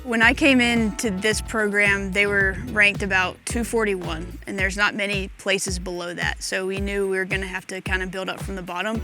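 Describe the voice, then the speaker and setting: A woman who is a head college golf coach, giving a relaxed, conversational interview with a few casual fillers. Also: medium pitch, moderate pace, hardly any pauses. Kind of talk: documentary interview. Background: music.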